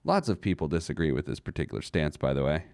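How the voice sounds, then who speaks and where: Deep-voiced man hosting a money show. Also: very animated, fast talker, gravelly, darkish tone, clean tone, no room sound, clean studio sound. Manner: emphatic, rhetorical questions. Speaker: host of a personal finance show. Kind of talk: conversational advice monologue.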